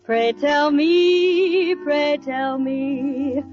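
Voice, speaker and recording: A woman's voice: high voice, fairly even intonation, extremely slow. A woman singing a swing song in the style of 1940s radio. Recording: muffled vintage radio, loud band music.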